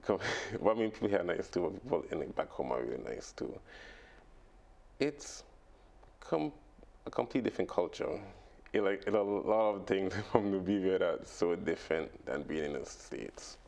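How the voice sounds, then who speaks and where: Brief: young man, very low and gravelly voice, halting and laughing as a radio guest. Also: very animated, a little mellow. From British Virgin Islands. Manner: halting, laughs often, many fillers. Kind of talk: casual radio interview. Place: radio studio.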